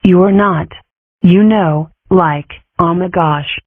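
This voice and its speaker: Woman doing a slow, snobby cartoon schoolgirl. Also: lowish voice, dry recording. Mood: condescending. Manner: drawling, condescending, filler-heavy. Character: snobby, cool cartoon schoolgirl with valley-girl drawl. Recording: tinny, phone-like audio.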